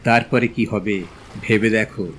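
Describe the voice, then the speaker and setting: An actor playing a witty, dreamy young gentleman in a literary audio drama, speaking in a poetic, eloquent, theatrical way. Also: fairly low pitch, little pitch movement, normal speed, a little hiss or hum, close to an everyday voice. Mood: dreamy, romantic.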